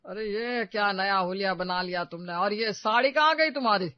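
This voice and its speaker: A man performs an elderly, domineering husband in a domestic story. His voice sits high and he scolds with indignation. The recording is close, dry and slightly dull. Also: moderate pace, smooth, no echo, lightly acted. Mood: angry, commanding. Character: stern, scolding old patriarch husband and father-in-law.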